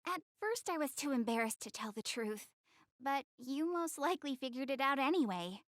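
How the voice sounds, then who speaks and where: A woman playing a proud, self-conscious young goddess girl in an anime-style game, expressive, easily flustered and earnest. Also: high voice, normal speed, dry recording, studio quality. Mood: sheepish, confiding.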